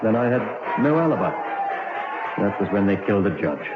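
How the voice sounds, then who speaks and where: An actor playing an innocent condemned prisoner, weary and resigned, in a vintage radio drama. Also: male voice, fairly low pitch, big pitch swings, a little fast, crowd noise. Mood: bitter, weary. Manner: resigned, despairing. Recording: dull, very noisy, echoing old radio recording.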